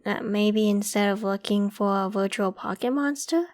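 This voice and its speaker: A woman's voice: mid-range voice, normal speed, close to an everyday voice. A woman voicing a friendly, self-conscious young half-human werewolf who talks casually and protectively to the listener in an ASMR roleplay. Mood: friendly, playful. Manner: casual, conversational, gently protective. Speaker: voice actor in audio roleplay.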